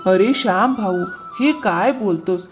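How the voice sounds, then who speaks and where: A woman voicing a greedy, deceitful farmer man in a moral-story cartoon, flattering and easily flustered. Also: mid-range voice, a little slow, over music, lightly acted. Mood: indignant.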